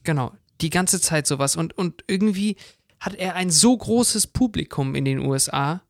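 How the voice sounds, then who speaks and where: A man's voice: fairly high pitch, lively intonation, normal speed, gravelly, no room sound, clean studio sound. A man co-hosting a podcast in casual chat. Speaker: podcast co-host.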